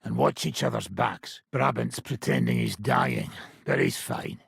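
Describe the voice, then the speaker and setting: Gravelly, raspy old mercenary captain. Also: male voice, fairly low pitch, huge pitch swings, a little slow, no echo, clean studio sound, heavily acted. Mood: weary, grim. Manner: blunt, sardonic, commanding, swearing. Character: gruff, foul-mouthed ageing medieval mercenary band leader. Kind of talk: video game voice acting.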